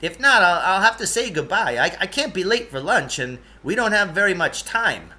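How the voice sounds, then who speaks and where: Guy doing a jumpy proud otherworld guide for a storybook, kinda fussy and touchy, high sharp voice. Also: very animated, moderate pace, exaggerated voice. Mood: fussy, hurried. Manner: hesitant stammering, then emphatic and touchy.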